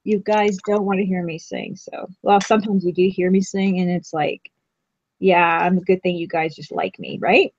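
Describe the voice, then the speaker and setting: A woman's voice: fairly low pitch, steady tone, moderate pace, no room sound, lo-fi sound. A woman co-hosting a craft stream, chatting casually and teasing herself as she paints. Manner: chatty, giggly, self-teasing. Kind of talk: casual chat while painting.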